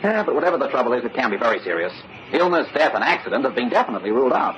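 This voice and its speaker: An actor playing an earnest, polite, easily flustered young fiancé in an old-time radio drama. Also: male voice, medium pitch, sing-song, rapid-fire, close to an everyday voice. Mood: concerned, chatty. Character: earnest polite young fiancé off to meet future father-in-law. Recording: muffled, noisy old radio recording.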